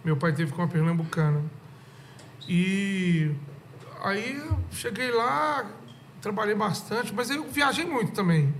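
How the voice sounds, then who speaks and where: Animated man telling stories. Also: sits high, measured pace, a little hiss or hum. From Brazil. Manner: animated, laughing storyteller with many fillers. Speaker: podcast guest. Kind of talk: casual chat, storytelling.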